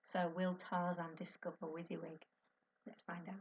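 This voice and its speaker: A woman's voice: fairly low pitch, little pitch movement, normal speed, dull audio, slight background noise. A woman teacher reading a children's story aloud expressively to her class.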